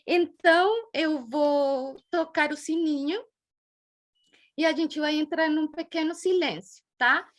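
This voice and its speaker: A woman with a Brazilian accent and a distinctly high voice hosts a gathering. She speaks affectionately and reflectively, gently inviting the group to be present. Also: slow pace. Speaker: event mediator and host. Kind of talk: heartfelt opening of a group gathering.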